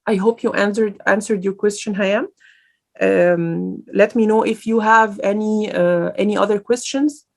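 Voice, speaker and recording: A woman's voice: medium pitch, steady tone, measured pace, gravelly. Woman lecturing online, lots of uhs and restarts, easygoing q&a tone. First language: Arabic. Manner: informal and hesitant, many fillers and restarts.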